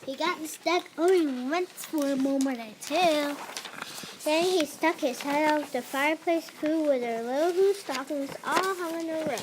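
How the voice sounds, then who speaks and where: A child narrating a reader's theatre script, reading aloud with emphasis and some halting. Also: high voice, background noise. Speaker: narrator in a class play.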